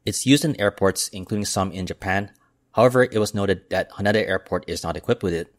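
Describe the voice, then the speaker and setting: A man hosting an aviation news channel, reading a scripted report in a steady, measured way as a voice-over. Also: deep voice, no echo, studio quality. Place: voice-over, not recorded in the pictured airport.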